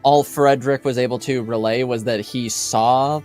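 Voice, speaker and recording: A man's voice: mid-range voice, steady tone, normal speed, a little mellow, soft background music. A man in a casual tabletop game discussion, explaining things carefully and tentatively. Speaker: tabletop roleplaying game participant.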